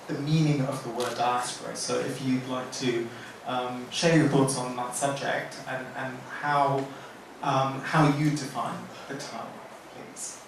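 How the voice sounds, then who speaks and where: A man moderating a panel, introducing a guest and posing a question with many fillers and restarts. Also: mid-range voice. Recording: distant mic in echoey hall, noise.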